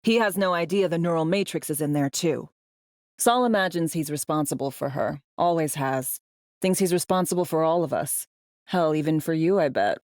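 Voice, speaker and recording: A low female voice, recorded close and dry, plays a worldly spy for a video game. She talks in a clipped, slangy way, with a sardonic edge and the weariness of someone long in the field. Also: expressive, moderate pace, darkish tone, dry recording. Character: world-weary, wry female undercover spy in a dystopian city. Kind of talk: video game voice acting, conversational dialogue.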